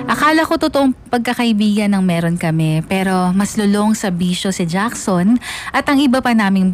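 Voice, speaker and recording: A woman narrating a dramatised first-person radio story, mixing English into her Filipino. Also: mid-range voice.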